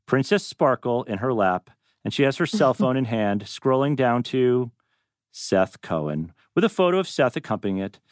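Casting: male narrator for script directions, mid-pitched, lively, loose asides, close dry sound. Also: normal speed, no room sound. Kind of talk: reading script directions at a live table read, with ad-libbed asides.

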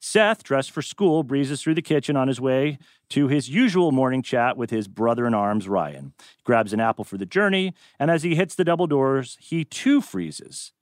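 A man's voice: medium pitch, expressive, normal speed, no echo, studio quality. A man hosting a live table read and narrating stage directions, tossing in wry, joking asides.

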